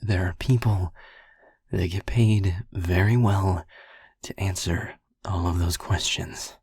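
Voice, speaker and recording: A gruff, protective man played by a male actor in an audio drama. His voice is deep and very husky, full of breath, and he takes his time over each phrase in a close, dry sound. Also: fairly even intonation, darkish tone, dry recording, exaggerated voice. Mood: matter-of-fact. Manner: slow, deliberate, halting phrasing. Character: gruff, protective man calming a frightened friend. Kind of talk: audio roleplay acting.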